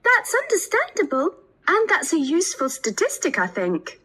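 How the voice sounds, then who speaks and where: A woman's voice: extremely high pitch, huge pitch swings, moderate pace, pushed and bright, heavily acted. A woman playing a chatty, agreeable student in a scripted, conversational listening-test dialogue. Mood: interested.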